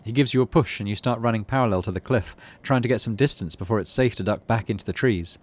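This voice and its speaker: A man's voice: fairly low pitch, fast talker. A British man hosting a gaming playthrough, reading game text aloud and chatting casually about it. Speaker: gaming channel host.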